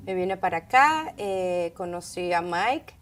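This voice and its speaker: A middle-aged woman from Caracas with a Venezuelan Spanish accent, telling her story in conversational interview answers with halting, thoughtful pauses. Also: lowish voice, animated, a bit bright. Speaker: interview guest, entrepreneur. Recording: clearly noisy background ambience.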